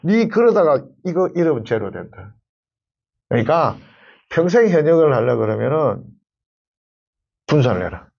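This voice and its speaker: A middle-aged man speaks in a husky, gravelly voice with an animated rise and fall. He gives conversational advice like an instructor, leaning on key phrases and repeating them. The sound is a little muffled and lo-fi. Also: fairly high pitch, moderate pace, long pauses. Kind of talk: conversational advice to camera in an interview.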